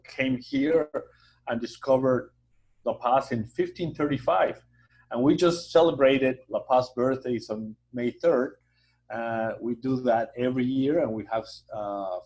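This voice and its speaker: A man with a Mexican accent, a native Spanish speaker, being interviewed as a hotel association president, speaking haltingly with many fillers and a warm promotional tone. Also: medium pitch, poor audio. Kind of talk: conversational interview.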